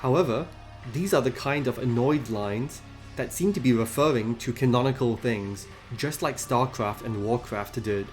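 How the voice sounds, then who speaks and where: A man hosting a scripted video essay about game lore, explaining in a measured way. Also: mid-range voice, darkish tone, faint music behind. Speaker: video host.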